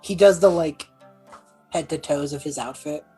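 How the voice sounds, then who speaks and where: A woman with a husky voice improvising casually as a player in a tabletop roleplaying game, halting and giggly. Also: deep voice, warm tone, faint music behind, low-quality recording.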